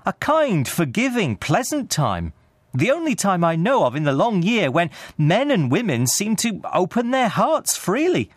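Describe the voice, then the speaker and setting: A man voicing a cheerful, warm-hearted young nephew in a storybook reading, eager, earnest and pleading. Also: high-pitched, wildly swooping pitch, a little slow, slightly rough, no echo, voice pushed up, exaggerated voice. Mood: earnest, heartfelt.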